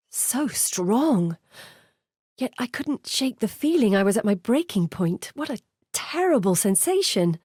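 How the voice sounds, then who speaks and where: A woman's voice: medium pitch, darkish tone, husky, no room sound, clean studio sound. An actress playing an earnest, curious woman scholar in a video game, articulate, thoughtful and dramatic in delivery. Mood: distressed. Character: earnest, curious woman scholar guiding an exile through memories. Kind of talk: video game character voice acting.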